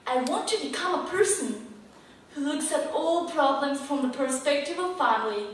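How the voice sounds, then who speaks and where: Japanese teen girl, English speech contest entrant, earnest and deliberate, bright high voice, far-off and echoey in a large hall. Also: slight background noise. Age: teens. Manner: earnest, slows down to stress key phrases. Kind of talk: memorized formal speech. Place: large auditorium stage. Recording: echoey hall heard from afar.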